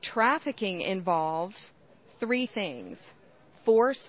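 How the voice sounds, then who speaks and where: A woman talks through her account in an earnest, explanatory way, like someone used to teaching adults. Her pitch sits in a mid range. The sound is a little dull and lo-fi. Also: sing-song, drawn-out words. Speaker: interview guest, whistleblower. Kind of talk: earnest interview answers.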